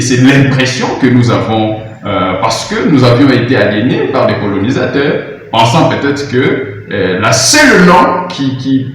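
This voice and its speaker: A man's voice: mid-range voice, slight background noise. A man speaking as a linguist at a conference, earnest and hesitant with many fillers. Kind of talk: interview remarks. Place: hall at a museum conference. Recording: roomy echo from far off mic.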